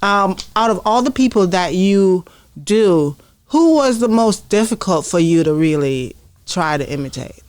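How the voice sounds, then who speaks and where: A woman's voice: medium pitch, expressive, a little slow, darkish tone, dry recording. A woman from Jamaica co-hosting an interview, asking curious, prompting questions. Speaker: co-host interviewer. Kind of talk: casual interview.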